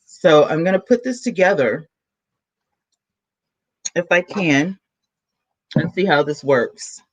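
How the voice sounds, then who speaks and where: A woman YouTuber, chatting excitedly to her viewers from home while she sets up a new microphone. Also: low voice, slow, breathy edge, highs cut off. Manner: chatty, excitable, talks to chat. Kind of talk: casual vlog chat to viewers. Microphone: camera mic, then a new plug-in USB mic.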